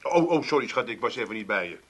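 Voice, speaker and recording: An actor playing an easygoing, henpecked son and pub regular from Amsterdam in a radio play, speaking evasively with an Amsterdam accent. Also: male voice, fairly low pitch, sing-song, fast.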